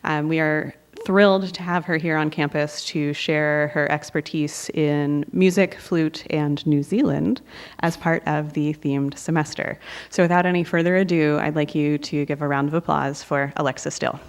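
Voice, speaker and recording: A woman's voice: low-pitched, fairly even intonation, normal speed, clear, resonant tone. A woman hosting an event, politely introducing a guest speaker with a few ums. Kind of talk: formal introduction. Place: campus auditorium.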